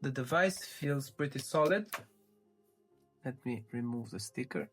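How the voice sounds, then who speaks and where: A man presenting a casual tech unboxing, explaining things step by step with small hesitant pauses. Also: mid-range voice, expressive, a bit bright, faint music behind. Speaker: tech reviewer presenting his channel.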